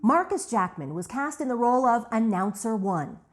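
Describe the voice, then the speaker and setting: Female documentary narrator, higher voice with animated intonation, scripted read. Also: moderate pace.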